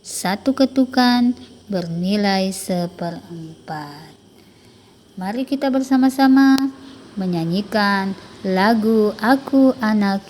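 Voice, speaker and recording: A woman primary school teacher, explaining a lesson to children slowly and carefully. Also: mid-range voice, a little mellow, a little hiss or hum. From South Sulawesi, Indonesia. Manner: slow, careful explaining to pupils. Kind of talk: recorded online lesson for children.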